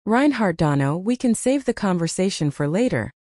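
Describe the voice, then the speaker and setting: A woman's voice: lowish voice, sing-song, moderate pace, darkish tone, no room sound, studio quality. A woman voicing a serious, grave male marquis in a narrated story. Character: serious, frowning marquis, grave tall nobleman.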